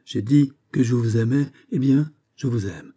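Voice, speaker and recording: A man's voice: medium pitch, moderate pace, warm tone, husky, dry recording, studio quality. A man voicing a desperate young maiden of the royal court in an audiobook, pleading passionately with breathless exclamations.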